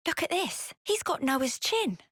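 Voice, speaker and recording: A high-pitched, outspoken young soldier. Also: female voice, very animated, measured pace, fairly bright tone, no room sound, clean studio sound, exaggerated voice. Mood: curious. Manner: blunt, informal. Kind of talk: video game cutscene acting. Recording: music behind the voice.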